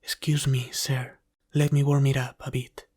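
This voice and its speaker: A man playing a polite, caring dragon butler in an ASMR roleplay, speaking formally, gently and deferentially. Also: medium pitch, flat delivery, normal speed, slightly rough, lightly acted. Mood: apologetic, attentive. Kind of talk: ASMR roleplay, soft scripted character acting.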